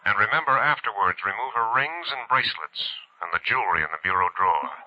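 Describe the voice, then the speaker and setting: An actor playing a cold, businesslike crime boss in a 1940s radio thriller, curt and controlled. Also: male voice, on the low side, lively intonation, fast talker, dry recording. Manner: curt, controlled, matter-of-fact. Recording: dull old radio recording.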